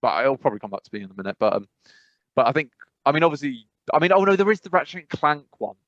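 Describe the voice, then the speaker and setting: A man's voice: mid-range voice, big pitch swings, racing, fairly bright tone, no echo. A man co-hosting a casual podcast, rambling with lots of fillers and restarts. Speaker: podcast co-host. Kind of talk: casual chat. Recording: dry, slightly dull sound.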